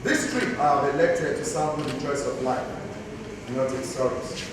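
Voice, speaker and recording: A man's voice: medium pitch, moderate pace, close to an everyday voice. A man acting a grandiose, defiant deposed king, declaiming pompously in a stage play. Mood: grand, defiant. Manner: oratorical, pompous. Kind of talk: declamatory theatrical stage monologue. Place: indoor theatre stage. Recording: echoey noisy theatre hall, from far off.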